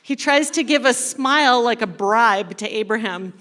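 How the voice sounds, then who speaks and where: A woman reading a story dramatically to a congregation as a church storyteller, expressive and deliberate. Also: on the high side. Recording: echoey hall with long reverb.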